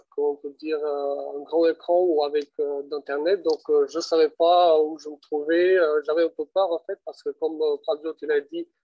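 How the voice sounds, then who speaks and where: A man's voice: on the high side, dark tone, no echo, poor audio. A man giving a casual, hesitant personal recollection as a former trainee.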